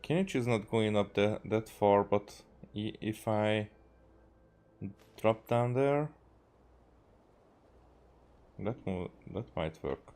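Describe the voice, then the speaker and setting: A man from Hungary with a Hungarian accent gives casual, halting gameplay commentary, half to himself as he streams. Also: fairly low pitch, darkish tone. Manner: halting, muttering to himself while playing. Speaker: game streamer. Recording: game music behind. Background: video game music.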